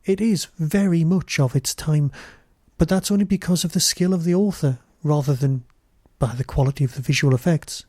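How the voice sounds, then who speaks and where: A man's voice: on the high side, moderate pace, mellow, dry recording, studio quality. A man hosting a podcast, giving a semi-scripted review straight to the listener.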